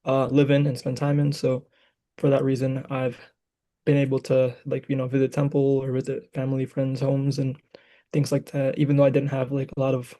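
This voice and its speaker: A man's voice: mid-range voice, completely flat delivery, normal speed, dark tone. A young man from Michigan, a graduate student, chatting casually as a podcast guest, hesitant, with many fillers. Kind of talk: casual chat.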